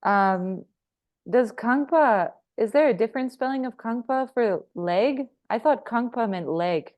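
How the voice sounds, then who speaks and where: A woman's voice: on the high side, lively intonation, measured pace, a little mellow. A woman student asking her teacher a question in class, hesitating and thinking aloud as she goes.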